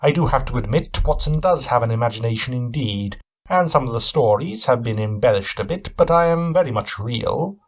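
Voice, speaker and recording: A man's voice: medium pitch, lively intonation, brisk, husky, voice pushed up. A man voicing a thin, keen-eyed older male detective who speaks in a formal, courteous, deducing way. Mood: genial.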